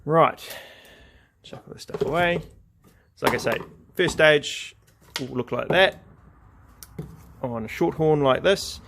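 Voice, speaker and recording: A man's voice: mid-range voice, creaky, a bit bright, a little hiss or hum. A man giving a casual, hands-on craft demonstration, explaining each step in an informal, laid-back way. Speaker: craft tutorial host.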